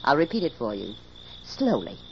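A woman's voice: rumbling low, sing-song, normal speed, heavily acted. An actress playing a possessive, scheming rich woman in a haughty, cutting 1940s radio drama style. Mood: cold menace. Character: possessive, scheming rich woman, coolly taunting her fiance. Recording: dull, hissy old radio recording.

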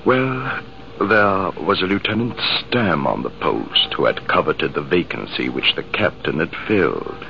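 An actor playing a male army major who tells the story in a reflective, measured way, in 1950s radio drama style. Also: low voice, lively intonation, creaky, heavily acted. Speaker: narrator. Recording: hissy, muffled old radio broadcast.

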